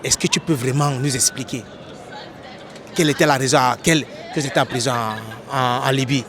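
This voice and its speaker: A man hosting an outdoor street interview, asking animated questions and often checking with "n'est-ce pas?". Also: medium pitch, fairly quick, crowd noise. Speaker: host, interviewer.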